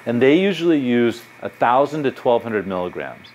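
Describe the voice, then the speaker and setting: A man's voice: medium pitch, sing-song, normal speed, a little mellow, lo-fi sound, slight background noise. A middle-aged man, a psychology professor, giving a public lecture in a university lecture hall with a conversational, anecdotal manner and lots of gesturing. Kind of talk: public lecture with audience questions.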